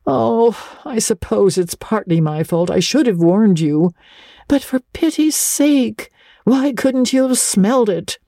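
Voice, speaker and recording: A woman's voice: on the high side, animated, slow pace, mellow, dry recording, clean studio sound, pitched above the performer's own voice. A woman voicing a stern, exasperated guardian in a storybook, scolding with emphatic, scandalized delivery. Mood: shocked, scolding.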